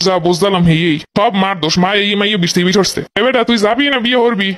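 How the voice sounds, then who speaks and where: A man's voice: sits high, fast talker, a bit bright. A man voicing grumbling, put-upon cartoon villagers at a wedding, arguing in a Barisal Bangla accent. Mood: exasperated, grumbling. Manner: complaining, argumentative. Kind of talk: comic cartoon dialogue.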